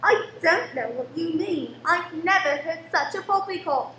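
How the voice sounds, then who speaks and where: A woman's voice: very high voice, lively intonation, unhurried, pushed and bright, exaggerated voice. A woman playing a cheerful, outspoken young daughter in a radio play, spirited and a little defiant. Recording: echoey room with faint noise.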